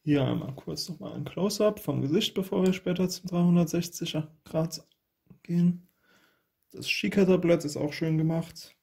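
A man's voice: on the high side, normal speed, creaky, darkish tone. A man hosting an unboxing with casual hands-on commentary, recorded indoors at a table. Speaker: unboxing video host.

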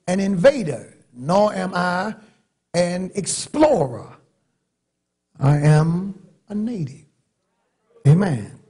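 A middle-aged man from North Carolina with a Southern accent, preaching a sermon from a church pulpit with long pauses and rising emphasis. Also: sits high, darkish tone, husky. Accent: Southern American. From North Carolina, USA. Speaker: pastor. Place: large church sanctuary, at a pulpit. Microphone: pulpit microphone.

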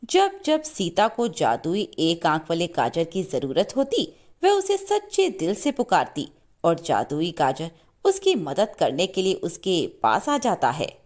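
A woman reading a scripted children's story as an expressive narrator. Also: fairly low pitch, a little slow.

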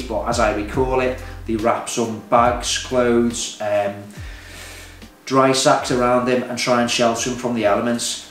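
A man speaks to camera in an informal, advisory way, like someone instructing beginners. He is recorded indoors at some distance from the microphone, so the room echoes around his voice. Faint music sits underneath. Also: mid-range voice. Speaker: hiking vlogger giving beginner tips. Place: indoors, small home room at a table. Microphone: camera a few metres away.

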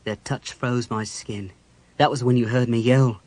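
A man's voice: medium pitch, steady tone, measured pace, a little mellow, natural voice. A man playing a big, haunted warrior with a grim, weighty delivery in a dramatic fantasy audiobook.

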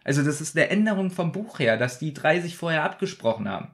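A man's voice: a little high, expressive, quick pace. A man co-hosting a podcast in casual debate, insistently repeating his point. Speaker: podcast co-host.

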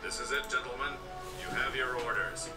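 An actor playing a stern, formal military commander giving orders in a video game. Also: male voice, medium pitch, little pitch movement, moderate pace, recorded from a distance, lightly acted. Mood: stern, commanding. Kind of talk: scripted video game voice acting. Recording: echoey game playback from afar, loud music.

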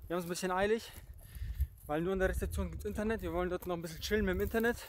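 A man's voice: high voice, steady tone, rapid-fire, slight background noise. A man giving casual, enthusiastic travel vlog commentary in an informal way. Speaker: travel vlogger. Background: background music.

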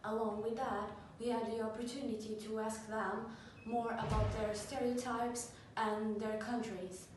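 A young woman from Portugal, giving a class presentation slowly and hesitantly, with many fillers. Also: a little high, flat delivery, noisy background. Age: young adult. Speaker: student presenter. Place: classroom with a large screen. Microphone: far camera. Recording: echoey room, camera far off.

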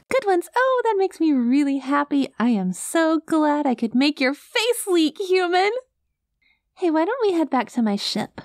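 A sweet, earnest alien girl played by a woman with a fairly high voice. She is literal and naive about humans, hesitant yet gently reassuring. It is an ASMR roleplay with a very dry, room-free sound. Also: very animated, measured pace, no echo, exaggerated voice. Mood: happy, reassuring.

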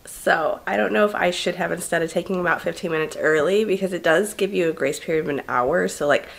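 A young woman, a stay-at-home mom, talking casually to camera in a home video diary, hoarse and rambling with many fillers. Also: fairly low pitch, fast talker. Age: young adult. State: hoarse, losing her voice. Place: home, bedroom and kitchen.

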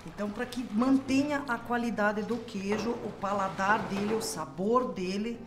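A woman's voice: mid-range voice, normal speed. Cheesemaker woman, middle-aged, earnest explainer, echoey room with background music. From Brazil. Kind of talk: casual explanation. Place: small cheese dairy, indoors. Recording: echoey tiled dairy room.

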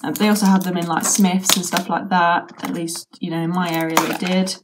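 A young woman with a British accent and a croaky voice from a sore throat, chatting casually while unboxing a toy, with lots of ums and likes. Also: lowish voice, level tone, fairly quick, background noise. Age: young adult. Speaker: toy reviewer. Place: bedroom with toy shelves, indoors.

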